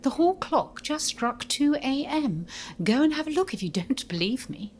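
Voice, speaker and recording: A woman playing a polite, nervous English governess, hesitant and breathy, in an audio drama. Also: high voice, sing-song, normal speed, slight background noise. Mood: puzzled.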